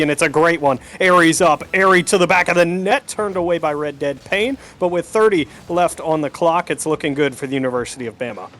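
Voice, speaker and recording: A man's voice: fairly high pitch, fairly bright tone. A man doing live play-by-play commentary of a video game match, using sportscaster slang. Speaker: esports commentator, play-by-play caster.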